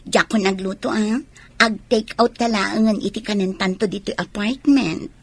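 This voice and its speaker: A woman's voice: medium pitch, fairly even intonation, moderate pace, no room sound, close to an everyday voice. A woman with a Filipino Ilocano accent playing a soldier's clingy sweetheart in a radio drama. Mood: coaxing.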